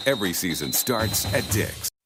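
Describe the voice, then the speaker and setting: A man's voice: fairly low pitch, lively intonation, moderate pace. A man reading a scripted radio commercial as an announcer. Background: loud music.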